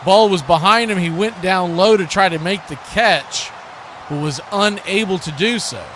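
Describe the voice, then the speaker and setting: An American man calling live football play-by-play in excitable, clipped phrases. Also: sits high, moderate pace, noisy background. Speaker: play-by-play announcer.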